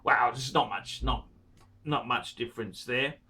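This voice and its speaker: A man's voice: medium pitch, moderate pace, sharp tone. A middle-aged man hosting a watch channel, talking casually to camera and repeating words emphatically for effect. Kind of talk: casual to-camera review, reading a viewer's letter.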